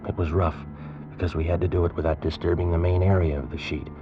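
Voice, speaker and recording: A man narrating a tense story in the first person in 1940s radio drama style, slow and suspenseful. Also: low voice, fairly even intonation. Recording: dull old radio recording with music.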